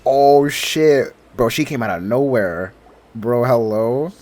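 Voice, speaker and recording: A man's voice: mid-range voice, lively intonation, takes it slowly, darkish tone, slight background noise. A man giving casual let's play commentary as a gaming streamer, informal, slangy and enthusiastic.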